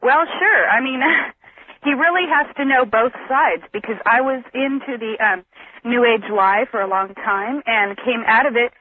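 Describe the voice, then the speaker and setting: A woman's voice: on the high side, measured pace, dry recording. A woman calling a radio show from a phone outdoors, talking casually and earnestly with a giggly edge. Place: outdoors, maybe at a phone booth. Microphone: telephone. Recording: tinny outdoor payphone line on radio.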